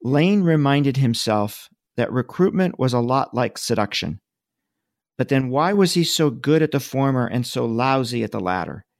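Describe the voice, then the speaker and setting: A male interviewer asking questions and reading book passages aloud in a measured way. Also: medium pitch.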